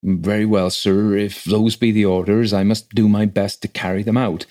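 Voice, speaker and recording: A man's voice: lowish voice, level tone, normal speed, mellow, lightly acted. Village clerk, done by a male reader: rustic, respectful, shockable working man for a storybook ghost tale. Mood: resigned. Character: shocked, deferential old-fashioned village clerk.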